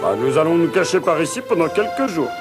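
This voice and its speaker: A brave bossy cartoon sidekick, highish voice, music going. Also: male voice, big pitch swings, a little fast. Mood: calm. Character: brave helpful male companion, takes charge.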